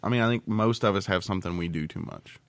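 A man's voice: low-pitched, quick pace, clean tone, no echo. A man chatting casually as a podcast co-host, with a rambling, folksy and teasing way of talking. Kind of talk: casual chat.

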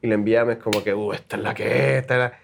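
A man from Puerto Rico with a Puerto Rican Spanish accent, a copywriter talking casually in an interview, halting and full of restarts with English words mixed in. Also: mid-range voice, steady tone, creaky, slight background noise. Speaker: copywriter guest.

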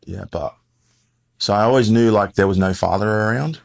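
Forties male guest, Australian accent, deep with a rough fry, loose rambling delivery. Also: normal speed. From born in the US, raised in Western Australia. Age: 40s. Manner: rambling, self-correcting storyteller. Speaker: podcast guest. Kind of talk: casual interview talk.

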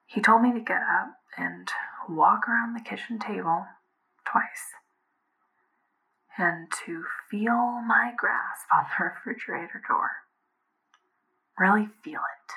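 An actress playing an anxious, haunted young woman confiding in a diary in halting whispers, in an audio drama. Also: medium pitch, heavily acted, low-quality recording. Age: young adult. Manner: halting, hushed, breathy whispering. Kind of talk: found-footage audio drama monologue.

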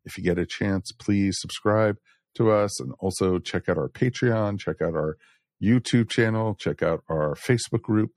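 A man's voice: on the low side, normal speed, darkish tone, dry recording, clean studio sound. A man hosting a podcast, chatting casually and addressing listeners in a folksy way. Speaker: podcast host.

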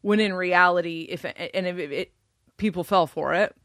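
A woman with a deep, low voice talks things through in relaxed conversation. She pauses and restarts as she thinks aloud. The sound is close and dry, with no room around it. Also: dry recording. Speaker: podcast guest. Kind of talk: casual conversation.

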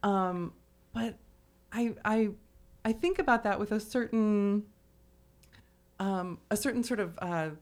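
A woman's voice: medium pitch, slow, pauses often. A woman hosting a podcast, discussing literature casually in a conversational way peppered with "like" and "you know". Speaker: podcast host.

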